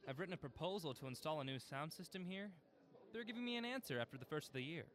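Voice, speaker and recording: A man's voice: fairly high pitch, animated, fast talker, fairly bright tone. An actor playing a playful male film buff who teases his friend in a naturalistic audio drama. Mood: friendly, eager. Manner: playful, needling banter. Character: teasing, upbeat male film buff, sound-business friend.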